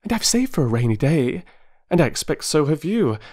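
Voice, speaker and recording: A reader voicing an impetuous, excitable middle-aged woman who pleads in an eager rush. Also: mid-range voice, a little fast, pitched above the performer's own voice. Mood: eager pleading. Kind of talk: audiobook character voice.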